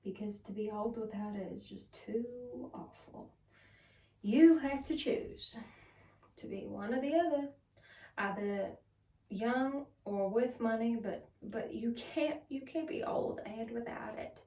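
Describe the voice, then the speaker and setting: Woman acting a slow, wounded, bitter wife. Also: a little high, warm tone. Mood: insistent. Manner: halting, broken phrases with heavy pauses, at times whispered. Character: wounded, bitter Southern wife confessing to her husband. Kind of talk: dramatic stage monologue. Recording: roomy echo, distant microphone.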